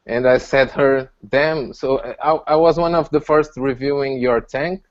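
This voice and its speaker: A man with a Portuguese accent, a native Portuguese speaker, chatting as a guest on a video call, halting and searching for words in English with frequent laughs. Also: mid-range voice, fairly even intonation, lo-fi sound. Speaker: guest reviewer. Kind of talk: casual chat on a video call.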